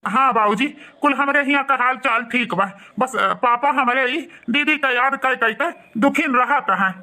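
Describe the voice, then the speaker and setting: A man plays a dutiful young villager in a cartoon, eager to help and respectful to his elders. His voice is bright and artificially raised, with an Awadhi accent. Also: very high-pitched, moderate pace, heavily acted.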